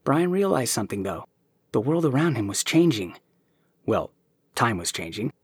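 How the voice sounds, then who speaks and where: A man narrating an audiobook in an even storytelling manner. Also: medium pitch, normal speed, slightly rough, no echo, clean studio sound.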